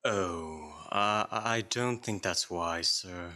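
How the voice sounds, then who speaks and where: A man's voice: low-pitched, slow pace, bright, forceful tone, exaggerated voice. A man playing a meek, nervous, downtrodden clerk in a radio play, hesitant, stammering and deferential. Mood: flustered, anxious. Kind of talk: community theatre radio play acting.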